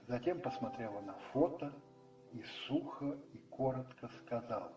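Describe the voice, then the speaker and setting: A male storyteller narrating a radio drama with measured, dramatic phrasing. His voice is dark and relaxed, set in an echoing space with faint music behind. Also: medium pitch, highs cut off. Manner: measured, pausing between phrases. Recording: roomy echo, distant, faint music behind.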